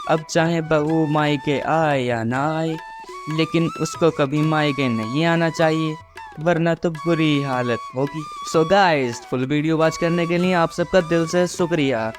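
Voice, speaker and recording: A man's voice: fairly high pitch, measured pace. A man telling a scripted moral story as its narrator. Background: music.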